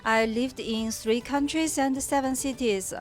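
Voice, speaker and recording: A woman's voice: fairly high pitch, steady tone, soft background music. Hesitant woman from mainland China. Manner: hesitant, many "uh" pauses. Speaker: sales worker, recent migrant. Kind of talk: interview answers.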